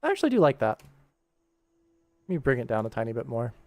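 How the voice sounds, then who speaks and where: A man's voice: mid-range voice, huge pitch swings, fast talker, darkish tone, dry recording. A man giving casual livestream commentary as an animation director, thinking aloud and explaining, with music behind him. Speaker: animation director streaming his work.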